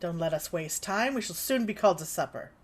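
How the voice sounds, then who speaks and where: Woman playing a fussy lowish-voiced wife. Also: lively intonation, fairly quick. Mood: fussy, impatient. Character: fussy middle-aged woman, manager's wife. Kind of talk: cold read of a classic stage play. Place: at home on a video call. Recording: faint noise, video-call audio.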